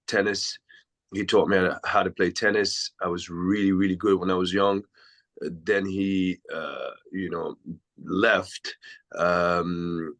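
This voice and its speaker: Man from switzerland, deep low voice, reflective, rambling podcast guest. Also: fairly bright tone. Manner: reflective, long winding answers. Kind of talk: casual interview storytelling.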